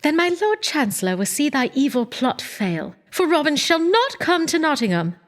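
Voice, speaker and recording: An actress playing a defiant noble captive maiden in an old radio drama with archaic English. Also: female voice, high voice, sing-song, normal speed. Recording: long hall reverb.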